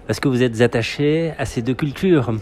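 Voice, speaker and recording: A man's voice: medium pitch, normal speed, a little mellow. A man working as a reporter, putting interview questions and linking between guests in a journalistic style. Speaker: radio or TV reporter.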